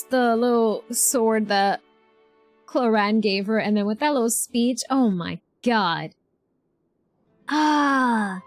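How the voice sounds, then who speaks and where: A woman's voice: high voice, no echo. A woman streamer giving casual, gushing, slangy live reactions to a game. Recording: game music behind the voice.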